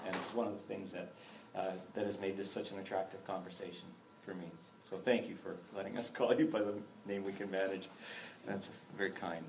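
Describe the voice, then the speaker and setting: A man hosting an interview, asking thoughtful questions in a warm, chuckling way. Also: low-pitched, steady tone, fairly quick, vocal fry, distant mic. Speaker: host. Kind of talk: conversational interview. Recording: echoey room with background noise.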